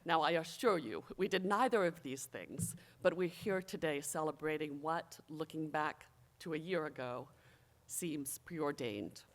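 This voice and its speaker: A speaker giving a formal, prepared ceremonial introduction in a measured, emphatic public-address manner. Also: mid-range voice. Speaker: college official introducing the new president.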